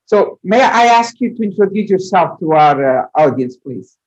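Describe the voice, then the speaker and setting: Interviewer, hesitant questioner, quick enthusiastic reactions, mid-pitched voice. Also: big pitch swings, a little mellow, highs cut off. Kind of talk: casual interview.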